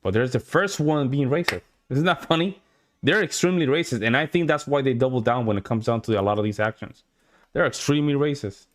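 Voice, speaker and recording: A man, a Spanish speaker, hosts a casual reaction stream. He talks bluntly and dismissively, with plenty of profanity. His voice sits at a middle pitch. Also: fairly quick. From Puerto Rico. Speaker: reaction video host. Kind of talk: casual live reaction commentary.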